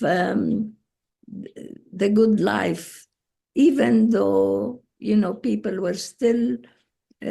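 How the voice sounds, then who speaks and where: Brief: woman, 80s, Arabic-accented, unhurried storyteller, gravelly husky voice, video call. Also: mid-range voice, mellow. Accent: Palestinian Arabic. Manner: slow, halting, with frequent pauses and restarts. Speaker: guest speaker. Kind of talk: relaxed personal storytelling.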